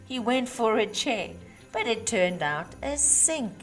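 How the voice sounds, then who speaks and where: A woman reading a rhyming children's storybook aloud with measured, emphatic phrasing for young listeners. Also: a little high, animated. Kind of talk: expressive read-aloud of rhyming Christmas storybook. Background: music.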